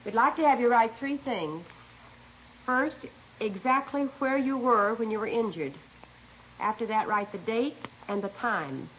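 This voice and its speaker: A woman's voice: fairly high pitch, expressive, a little slow, slight background noise. An actress playing an orderly, courteous but firm policewoman in an old radio drama, giving composed instructions on a muffled recording. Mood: firm, instructing. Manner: clear instructional delivery, composed. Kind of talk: 1950s radio drama acting.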